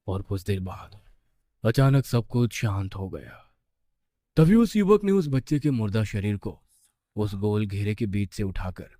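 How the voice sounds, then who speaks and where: A man's voice: on the low side, warm tone, no echo. A man narrating a scripted horror story with suspenseful, dramatic phrasing.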